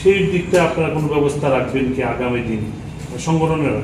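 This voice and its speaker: A man's voice: on the high side, a little fast. A man speaking as a reporter, putting a question at a press conference. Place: press meet in an echoey room. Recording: echoey, distant mic, noisy room.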